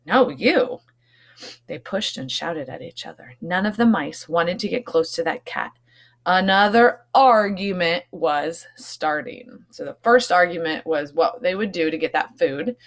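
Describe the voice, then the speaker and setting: A woman's voice: fairly low pitch, normal speed, a bit bright. A woman teacher reading a storybook aloud to young children, expressively, with explanatory asides.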